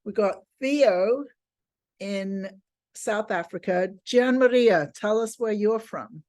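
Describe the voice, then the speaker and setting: A woman's voice: mid-range voice, slow pace, darkish tone. A woman with an effusive, exclamatory manner, teaching an informal online class over a video call. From England. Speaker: teacher of a film finance class.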